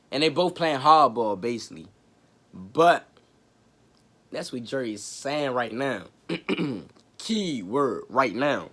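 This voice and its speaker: Raspy man talking sports, slow and easy. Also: mid-range voice, fairly bright tone. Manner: laid-back, joking, chatty with slang. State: raspy from a cold. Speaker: sports podcast host. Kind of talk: casual sports commentary.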